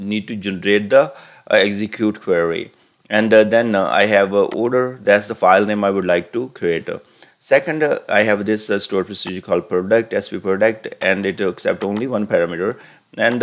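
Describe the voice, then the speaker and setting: A man with a fairly low voice talks through a database tutorial over a screen recording. He explains each step plainly and often stops on "uh" and restarts his sentences. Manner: hesitant, many "uh" fillers and restarts. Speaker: tutorial instructor.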